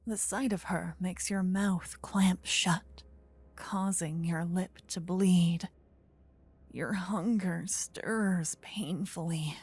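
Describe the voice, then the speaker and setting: A woman softly narrating a scripted fantasy ASMR story, breathy and often close to a whisper on a binaural mic. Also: on the low side, steady tone, slow pace. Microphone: close binaural microphone.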